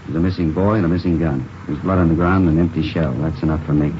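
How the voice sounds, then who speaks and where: Low, indignant, world-weary police chief. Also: male voice, steady tone, fast. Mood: bitter, indignant. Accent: American. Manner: forceful, bitter, world-weary. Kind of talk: 1950s radio police drama acting. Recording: dull noisy old radio recording.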